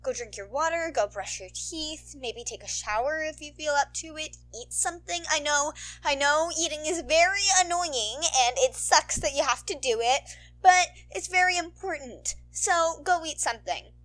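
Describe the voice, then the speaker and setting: A woman's voice: high-pitched, normal speed, a bit bright, low-quality recording. A young woman chatting casually to her listeners as a channel host, in a playful, rambling, bubbly manner. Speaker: podfic reader and channel host.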